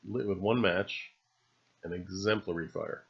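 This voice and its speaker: A man with a fairly low voice talks through an unscripted voice-over. He muses haltingly, trailing through his phrases with understated, dry humor. The recording is a little dull and lo-fi. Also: fairly even intonation, gravelly, husky. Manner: halting, musing delivery with long trailing phrases. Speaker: video narrator. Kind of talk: unscripted, rambling voice-over monologue. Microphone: separately recorded voice-over.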